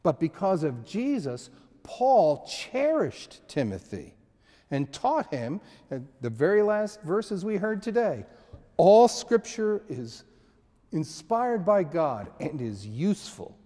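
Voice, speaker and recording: A man in his sixties preaching a sermon in a church sanctuary, slow and measured, with frequent restarts and emphatic pauses. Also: high-pitched, big pitch swings, dark tone, in a large hall. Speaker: pastor.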